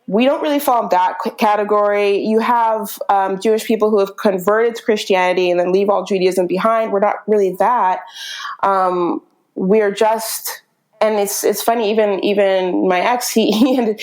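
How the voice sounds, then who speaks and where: A woman's voice: medium pitch, fairly even intonation, normal speed, darkish tone. Chatty woman, a podcast guest. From northern California. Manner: frequent restarts, laughs while talking. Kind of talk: casual conversational interview.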